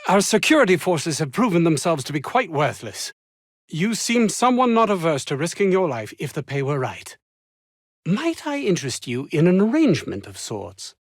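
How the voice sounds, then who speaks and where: A man's voice: a little high, no room sound, clean studio sound. An actor playing a pompous, anxious, self-important male research scientist in a video game, speaking in wordy, formal phrases with flustered hesitations. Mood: haughty, pleading. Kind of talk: video game character dialogue.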